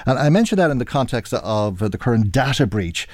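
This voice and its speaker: A middle-aged Irish man hosting a radio current affairs interview, speaking in a measured, halting way. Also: mid-range voice, sing-song, a little mellow, no echo. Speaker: radio show host.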